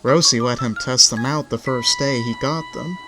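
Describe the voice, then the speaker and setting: A male narrator reads a children's story from a script. His voice sits in the middle range, with quiet music underneath. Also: mid-range voice, normal speed.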